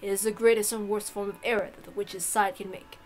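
A woman playing a deferential old male butler in a visual novel, speaking in a grave, formal, measured way. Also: medium pitch, level tone, darkish tone, faint music behind, natural voice. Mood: grave, explanatory. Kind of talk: visual novel character reading.

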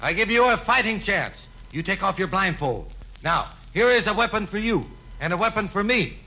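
Gloating commander, sharp-edged, swooping delivery, vintage radio comedy. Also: male voice, sits high, lively intonation, normal speed, exaggerated voice. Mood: sly bravado. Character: gloating male Mexican bandit officer, firing-squad commander. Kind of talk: 1950s radio comedy acting. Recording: noisy old radio recording.

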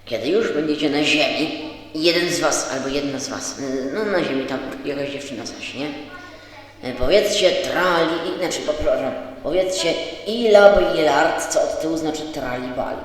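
A man playing an excitable, fussy lord of dreams in an amateur audio drama, theatrical and repeating himself. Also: fairly high pitch, moderate pace, very echoey, long reverb tail, lightly acted. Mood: solemn, instructive.

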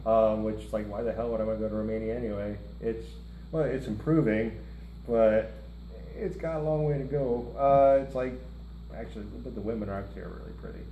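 A man's voice: on the low side, steady tone, a little fast, phone-quality sound. A middle-aged man co-hosting a music reaction show, chatting casually in a rambling way with joking asides. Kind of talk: casual chat. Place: indoor room, seated at a table before a lit curtain. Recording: roomy room with faint hiss.

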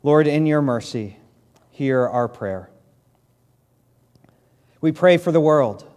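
Pastor, 30s man, New England accent, mellow tone, many ums, pulpit mic in big sanctuary. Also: on the high side, expressive. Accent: New England American. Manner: halting, many fillers, friendly. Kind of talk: church announcements and prayer. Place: large church sanctuary, in doors. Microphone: podium mic.

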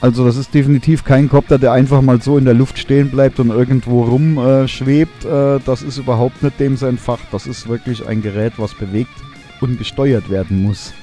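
A young man giving an enthusiastic voice-over review of a drone, relaxed and full of hesitation sounds. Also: medium pitch, moderate pace, faint music behind. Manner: relaxed, enthusiastic, many fillers.

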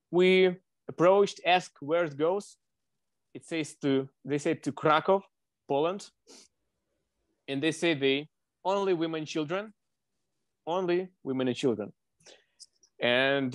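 Halting young Ukrainian man, slightly high voice. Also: dry recording, studio quality. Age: young adult. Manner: halting, searching for words. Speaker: interviewee. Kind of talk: spoken interview account. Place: small indoor room. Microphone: wired earphone headset on video call.

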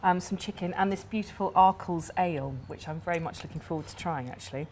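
A middle-aged woman giving a cooking demonstration in a garden centre greenhouse, warm and enthusiastic as she explains each step, on a lapel mic. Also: lowish voice, quick pace, a little hiss or hum. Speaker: cook, guest chef.

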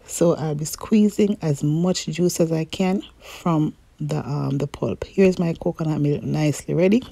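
A young woman narrating her home cooking, talking viewers through each step in an easygoing, chatty way. Her voice is low-toned and gravelly, with a husky edge, recorded close and dry. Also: unhurried, dark tone, no room sound. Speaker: cooking channel host. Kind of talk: cook-along voice-over narration. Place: home kitchen.

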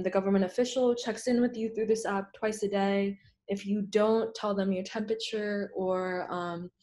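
Young american woman artist, loose chatty talk, laptop mic at home, mid pitch. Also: darkish tone. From United States. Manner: loose and chatty, many ums and likes. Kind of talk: casual panel discussion. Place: home room, video call. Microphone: laptop mic on a video call.

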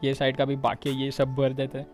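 Flat-toned Indian male gamer over music. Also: mid-range voice, a little fast. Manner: informal, addresses viewers directly. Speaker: gaming video creator. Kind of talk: casual video game commentary.

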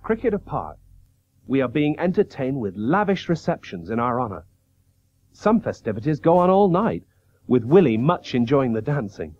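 An actor playing a quiet, dutiful young cricketer, gentle and measured, in a radio drama. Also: male voice, medium pitch, big pitch swings. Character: quiet, dutiful younger brother writing home, weary cricketer. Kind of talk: radio drama narration and dialogue. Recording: dull old radio broadcast.

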